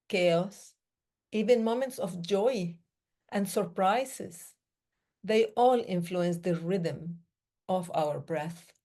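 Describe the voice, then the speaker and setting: A woman born in the Middle East, giving a reflective talk on breathing in slow, measured phrases with pauses between them. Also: on the low side, dark tone. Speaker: breathing day speaker.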